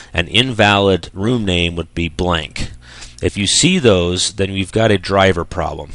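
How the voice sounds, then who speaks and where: A man with a very low voice narrates a software tutorial. He explains step by step without a script, like an instructor. The sound is close and dry, with almost no room in it. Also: no room sound.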